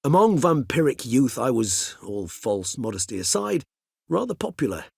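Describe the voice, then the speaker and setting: A man's voice: mid-range voice, sing-song, creaky, darkish tone, husky, dry recording, clean studio sound, full character voice. An actor voicing a cultured older male vampire in a video game, speaking formally and precisely. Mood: wry, reminiscing. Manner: erudite, formal, measured, wordy phrasing. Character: cultured, mild-mannered older male vampire, learned and courteous. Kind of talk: theatrical video game dialogue.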